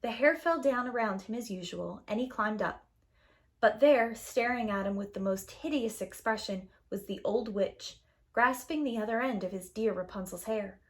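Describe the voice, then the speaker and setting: A woman storyteller reading scripted fairy-tale narration to young children with expressive, dramatic phrasing. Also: fairly high pitch, normal speed. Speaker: storyteller, preschool teacher.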